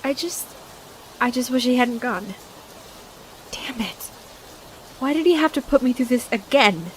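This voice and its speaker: A woman acts as a distressed yet firm wife in a zombie comic dub. Her voice is on the high side, with light rain noise around it. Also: expressive, a little slow, low-quality recording. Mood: upset. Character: distressed, firm wife among apocalypse survivors. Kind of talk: dramatic comic dub voice acting. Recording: faint rain and background noise.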